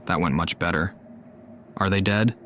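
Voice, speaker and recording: A man's voice: sits low, moderate pace, a bit bright, a little hiss or hum. A man giving casual, wry commentary while playing a video game. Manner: wry reactions to the scene. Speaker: game streamer. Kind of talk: casual let's-play commentary. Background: game music.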